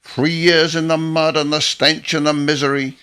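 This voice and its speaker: An actor playing a chirpy, gruff working-class father and war veteran in a radio play, with blunt, teasing, colloquial talk. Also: on the high side, little pitch movement, normal speed, bright, forceful tone. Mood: bitter, weary.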